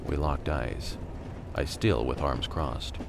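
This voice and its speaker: A man acting as a jaded scavenger narrating his life in a broken world. His voice sits extremely low, with a gravelly fry. He tells the radio drama story in a wry, world-weary, matter-of-fact way. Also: fairly even intonation, takes it slowly, noisy background, full character voice. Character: jaded male scavenger in a silent post-virus America, narrating.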